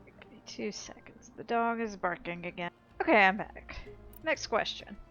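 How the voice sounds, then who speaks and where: A woman's voice: mid-range voice, expressive, moderate pace, a bit bright. A woman comments casually on a video game in a playful, informal way. The recording is slightly dull and lo-fi, with faint music behind her. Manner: playful, informal, slangy asides. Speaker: game streamer.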